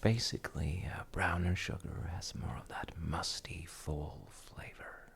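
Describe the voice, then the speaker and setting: A man playing a gentle, playful dad in a cozy baking audio roleplay, talking casually and softly to the listener. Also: low voice, unhurried, gravelly, whispered. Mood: cozy, gently playful. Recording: faint noise, kitchen sounds.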